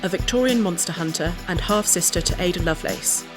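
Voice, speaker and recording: Woman, fairly low pitch, scripted character narration, music bed. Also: fairly quick. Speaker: cast member introducing a character.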